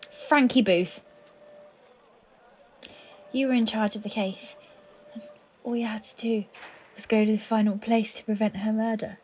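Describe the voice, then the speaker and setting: An actress playing a guilt-ridden, vengeful police colleague hiding a crime, emotional and halting, in an audio drama. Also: female voice, a little high, steady tone, a little mellow, a little hiss or hum, close to an everyday voice. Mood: accusing, anguished.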